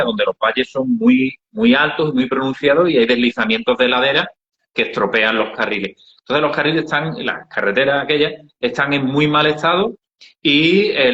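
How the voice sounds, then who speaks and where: A middle-aged man with an Andalusian Spanish accent, telling travel anecdotes in an unhurried interview style, with frequent hesitations. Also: mid-range voice, sharp tone. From Andalusia, Spain. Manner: unhurried storytelling with many hesitations. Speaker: interviewee, founder of an aid project. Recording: dull, roomy recording.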